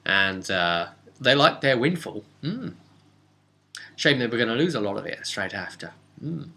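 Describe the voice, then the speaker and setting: A man's voice: mid-range voice, very animated, unhurried, gravelly, sharp tone. A British man casually rambling through a recap as game master, chatty and wry, often interrupting himself. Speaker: tabletop role-play game master and host. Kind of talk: casual rambling recap of a role-play session.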